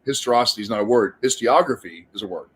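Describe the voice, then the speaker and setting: A man's voice: fairly low pitch, lively intonation, very fast, breathy edge. A man hosting a casual online chat, blunt and argumentative, swearing freely and talking over the others.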